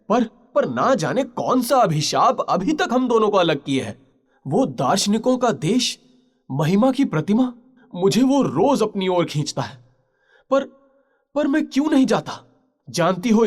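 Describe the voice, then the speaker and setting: Lovelorn pirate-turned-mariner played by a man: high male voice, proud yet pleading, halting, passionate audio-story delivery. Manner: impassioned, pleading, halting pauses. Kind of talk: Hindi literary audio story drama.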